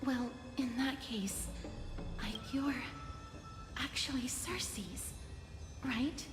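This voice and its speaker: Game heroine: earnest, analytical, level-headed, higher-pitched, echoing over background music. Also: female voice. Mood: calm, curious. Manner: measured, analytical. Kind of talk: video game story acting. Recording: echoey, music underneath.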